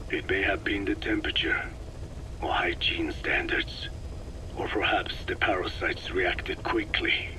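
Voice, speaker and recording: An actor playing a very old, frail scientist in a video game, explaining gravely and formally in a hoarse, breathy whisper. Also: male voice, sits low. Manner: slow, formal, clinical explaining. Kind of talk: scripted video game dialogue. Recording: noisy cassette tape recording.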